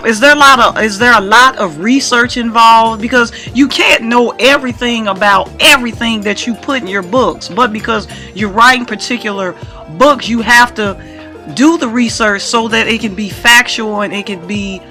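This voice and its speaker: A woman's voice: fairly high pitch, normal speed, bright, forceful tone, faint music behind. A woman hosting a radio interview in an informal, chatty, colloquial way. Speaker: radio show host.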